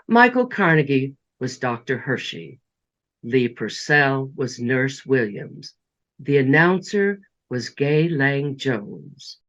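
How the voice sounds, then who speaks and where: Male announcer, slow dry credit read, dull lo-fi recording. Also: medium pitch, fairly bright tone. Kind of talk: scripted closing narration and credits.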